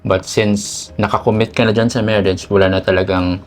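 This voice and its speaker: A man's voice: on the low side, little pitch movement, a little fast. A man with a Filipino accent giving casual, reflective, faith-based talk as a podcast co-host, mixing English with Tagalog.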